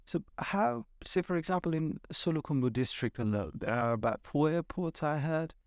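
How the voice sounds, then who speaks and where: A man's voice: fairly high pitch, lively intonation, moderate pace, no echo, studio quality. A man hosting a podcast, asking questions and commenting conversationally. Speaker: podcast host. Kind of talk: conversational interviewing.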